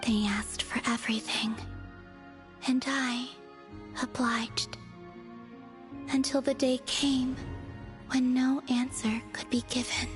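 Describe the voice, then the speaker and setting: A woman playing a weary, melancholy young moon goddess in a fantasy video game, speaking in a soft, breathy near-whisper with solemn, measured phrasing. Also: high-pitched, level tone. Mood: sorrowful, solemn. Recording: background music under the voice.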